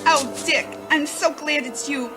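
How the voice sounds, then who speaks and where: High, gushing damsel. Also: female voice, unhurried, over loud music. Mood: frightened. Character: breathless, frightened young damsel, sweet and naive. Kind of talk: old-time radio melodrama parody.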